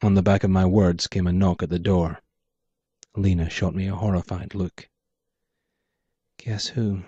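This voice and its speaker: A man's voice: low-pitched, flat delivery, moderate pace, warm tone, breathy edge, no echo. A man reading his own noir short story aloud as scripted narration. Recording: dull muffled lo-fi audio.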